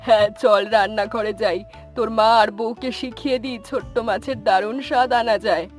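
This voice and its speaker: A woman's voice: on the high side, fairly even intonation, normal speed, soft background music, lightly acted. A woman playing a kindly, knowing old village grandmother in a cartoon story. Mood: knowing, reminiscing. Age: older.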